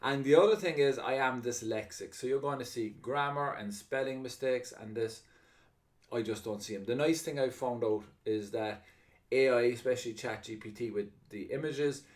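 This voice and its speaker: A middle-aged Irish man presenting a live sales webinar, talking quickly and excitedly with an upbeat salesman's delivery over a computer mic. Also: mid-range voice. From Ireland.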